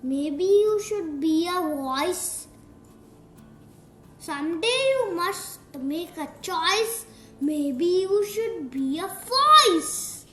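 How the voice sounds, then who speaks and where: A young girl reading a rhyming picture book aloud in a deliberate, sing-song storytime style. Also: very high voice, animated, a bit bright. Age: child. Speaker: young reader of picture books. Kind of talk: read-aloud storytime narration of rhyming verse. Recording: roomy echo, music playing behind. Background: music.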